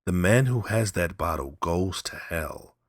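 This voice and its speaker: A man acts out a proud, scheming husband from a storybook. His voice is low and forceful, quick to temper and full of demands, in a dry, close sound. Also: expressive, no room sound. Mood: urgent.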